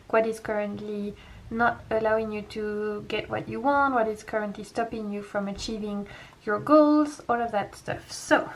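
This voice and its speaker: A young woman with a French accent, a French native speaker giving a gentle, reassuring pick-a-pile tarot reading full of casual fillers. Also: a little high, slight background noise, low-quality recording. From France. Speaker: tarot reader.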